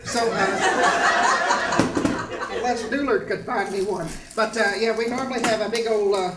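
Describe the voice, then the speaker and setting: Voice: medium pitch, normal speed, natural voice. A performer playing a folksy old grandma in a variety show sketch, rambling and hesitant as she demonstrates baking. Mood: folksy, cheerful. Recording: roomy stage sound with loud noise.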